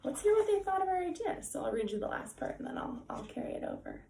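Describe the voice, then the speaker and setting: High-voiced woman reading a storybook aloud. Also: fast, gravelly. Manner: expressive, engaged with young listeners. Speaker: volunteer storyteller reading a picture book to children. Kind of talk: read-aloud storytelling with chatty asides. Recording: echoey room, faint background noise.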